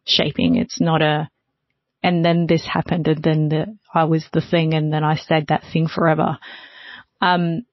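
A woman's voice: deep voice, dry recording. A woman hosting a panel conversation, summarizing and affirming what others say before asking the next question. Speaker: panel host. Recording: dry, dull lo-fi audio.

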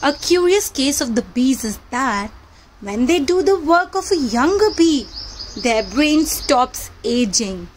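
A woman's voice: high-pitched, very animated, slow, slight background noise. A woman narrating a children's read-aloud book in a teacherly manner, addressing young listeners directly.

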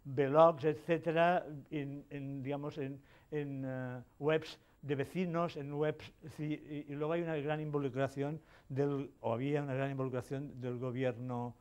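Male conference presenter from Spain, halting delivery full of fillers, slightly raised pitch, mellow tone. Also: fairly even intonation. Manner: hesitant, many fillers and restarts. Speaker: conference speaker. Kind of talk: technical conference talk. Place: conference hall.

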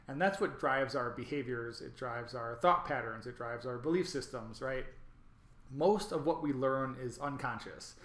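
A man's voice: mid-range voice, fairly bright tone, echoey room. A man presenting an online training, explaining things over slides with frequent ums and restarts. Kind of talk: recorded lecture over slides.

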